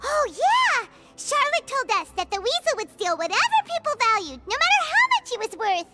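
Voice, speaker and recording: A woman voicing a tiny, excitable floating girl companion in a video game. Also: very high-pitched, moderate pace, exaggerated voice. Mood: excited. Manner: excitable, eager. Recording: loud music behind.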